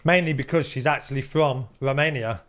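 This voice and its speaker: A man's voice: medium pitch, fairly quick, fairly bright tone. A man chatting casually while live-streaming a game, reading out viewer comments and swearing now and then. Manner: chatty, swears casually, reads chat aloud.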